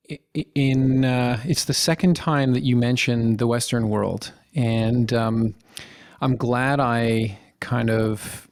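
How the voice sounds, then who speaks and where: A man's voice: medium pitch, darkish tone. A man hosting a podcast, asking long follow-up questions and stumbling over restarts as he thinks aloud. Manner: stammering restarts, curious. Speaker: podcast host. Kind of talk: interview questions.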